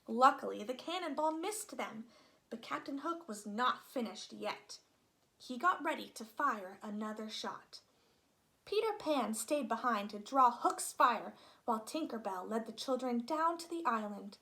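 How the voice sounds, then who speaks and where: High, lively woman reading a storybook. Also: unhurried. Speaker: storybook reader. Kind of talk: expressive read-aloud children's narration.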